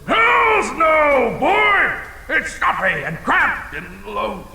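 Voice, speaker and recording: A man voicing a battle-scarred old dragon in an audio drama, fiercely proud and bombastic, with a gravelly, folksy delivery. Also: very high-pitched, very animated, takes it slowly, pushed and bright, shouting, full character voice. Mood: defiant. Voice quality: gravelly, creaky. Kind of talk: audio drama character acting. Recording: reverberant chamber, some background noise.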